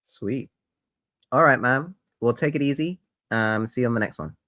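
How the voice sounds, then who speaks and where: A man's voice: medium pitch, moderate pace, pushed and bright, no echo, clean studio sound. A man chatting as a guest on a live stream, easygoing and brief. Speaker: co-host guest. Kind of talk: casual chat.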